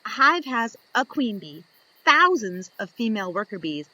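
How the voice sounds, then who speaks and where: A woman reading a children's picture book aloud, slow and lively, lifting into high voices for the questions. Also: no room sound, low-quality recording. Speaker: storybook reader.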